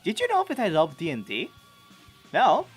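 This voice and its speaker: Man acting bouncy, high-pitched excitable fantasy guy. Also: moderate pace, slightly rough, soft background music, heavily acted. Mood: gleeful.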